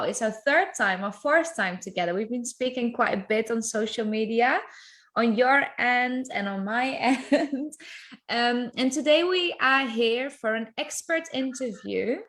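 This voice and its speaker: A woman's voice: on the high side, fairly bright tone. A woman hosting a live online interview, introducing her guest in a friendly way and laughing often. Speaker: host.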